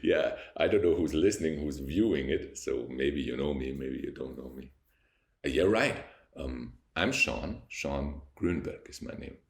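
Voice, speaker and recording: Very deep, gravelly Austrian man, slow and pausing. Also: expressive, reverberant. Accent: Austrian German. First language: German. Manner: slow, reflective, pausing often to search for words. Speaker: vlogger. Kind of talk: unscripted talking to camera. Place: high-rise apartment by a window.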